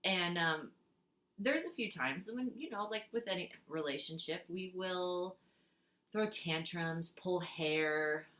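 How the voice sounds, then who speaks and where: A young woman from Switzerland speaks English, her voice bright and fairly low for a woman. She jokes, giggles and fills her pauses with "um", recorded in an echoey small room at home. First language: Swiss German. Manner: giggly, jokey, fills gaps with "um". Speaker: contestant. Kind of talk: casual audition tape.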